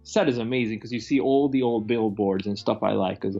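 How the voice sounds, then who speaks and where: Male movie reviewer, gravelly and opinionated, rambling and salty; dull lo-fi audio over music. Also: fairly low pitch, fairly quick, dark tone. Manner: rambling, opinionated, casually profane. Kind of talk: casual film review chat.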